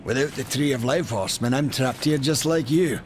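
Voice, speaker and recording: An actor playing a boastful, wry male maker in a video game cutscene, talking in a chatty, animated way. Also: mid-range voice, moderate pace, over music, lightly acted. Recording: game score behind.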